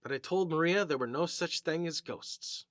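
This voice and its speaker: A man performs a big working-class stonemason from a storybook, proud of his trade and plain-spoken. The voice is bright and forceful, pitched fairly high for a man. He chats bluntly and colloquially, recorded close and dry. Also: normal speed, no echo, lightly acted. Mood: puzzled. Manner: blunt, colloquial, chatty, mild cursing.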